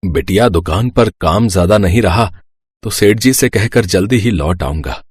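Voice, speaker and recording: A man playing a poor village father, husky, hesitant and apologetic, in a Hindi animated moral story. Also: on the low side, normal speed, no room sound, studio quality. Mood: gentle, apologetic. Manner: hesitant, soft-hearted.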